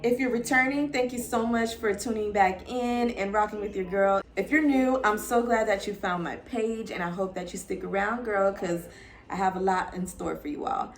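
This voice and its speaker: A woman's voice: mid-range voice, normal speed. A young woman from Florida, a lifestyle vlogger, chatting casually and upbeat to her viewers as she introduces herself. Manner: chatty, upbeat, addresses viewers as y'all and girl.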